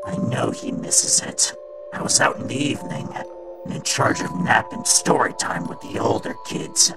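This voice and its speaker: A performer playing a guilt-ridden male robot daycare attendant in a fan comic dub, halting and sombre, with a gravelly voice that drops into whispers. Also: mid-range voice, expressive, over loud music. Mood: sombre recollection.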